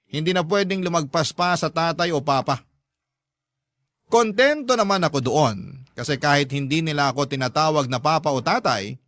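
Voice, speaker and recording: A man's voice: a little high, a bit bright, dry recording, close to an everyday voice. A man narrating in the first person as an earnest, devoted stepfather, sincere and confiding, in a dramatized love story.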